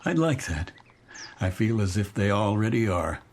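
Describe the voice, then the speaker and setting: An actor playing a gentle, wistful male newcomer in a radio drama, speaking with hesitant wonder. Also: on the low side, sing-song, moderate pace, a little hiss or hum. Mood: wistful, content. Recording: music behind the voice.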